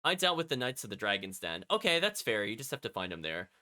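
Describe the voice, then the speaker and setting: A man's voice: fairly low pitch, very animated, fast talker, bright, forceful tone. A man streaming a video game, reading its text aloud and chatting with casual asides to his viewers. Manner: chatty, often commenting as an aside.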